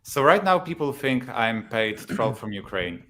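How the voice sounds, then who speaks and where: A man's voice: medium pitch, unhurried, a little hiss or hum. A man in his thirties from Poland with a Polish accent, a YouTuber talking casually as a guest and laughing often. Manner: relaxed, laughs often, many fillers. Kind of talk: casual interview talk.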